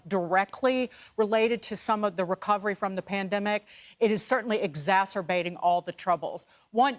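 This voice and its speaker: Assertive woman TV commentator. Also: fairly low pitch. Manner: assertive, argumentative. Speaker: TV panel commentator. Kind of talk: cable news panel talk.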